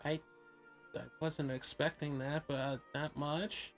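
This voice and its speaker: A woman voicing an awkward, puzzled young adventurer in an improvised comedic fan dub of a fantasy game, speaking casually and haltingly. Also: deep voice, flat delivery. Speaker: amateur live fan-dub voice actor. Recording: background music under the voice.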